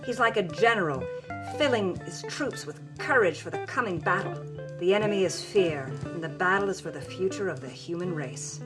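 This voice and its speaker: Woman as smitten actress, very expressive, music behind. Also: fairly low pitch, normal speed, close to an everyday voice. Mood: admiring, smitten. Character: ambitious, smitten movie actress reading out her journal.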